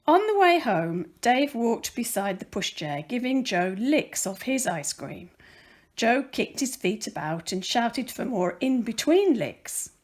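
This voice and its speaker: A woman reading a children's picture book aloud as a volunteer storyteller, with careful, expressive emphasis on the words. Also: fairly high pitch, unhurried.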